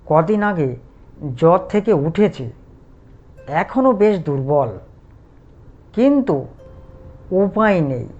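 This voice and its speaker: A man narrating a scripted first-person horror story in a measured, dramatic way. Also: high voice, very animated, darkish tone, highs cut off, soft background music.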